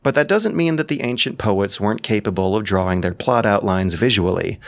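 A man narrating scripted explanatory commentary in an articulate, emphatic way with deliberate phrasing. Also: on the low side, lively intonation, vocal fry. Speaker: narrator and adapter of an audio drama.

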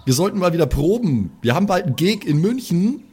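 A man's voice: high voice, big pitch swings, fast, a little mellow, husky. A man role-playing in an improvised game, talking in a brash, irritable, sweary way. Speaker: player in a pen and paper audio show. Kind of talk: improvised in-character role play.

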